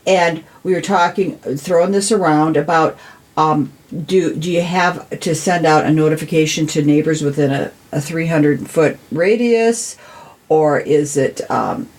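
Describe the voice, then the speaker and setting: American lady on an interview, low voice, earnest, leans on words and repeats them. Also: unhurried, a little mellow. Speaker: city council member, podcast guest. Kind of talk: conversational interview.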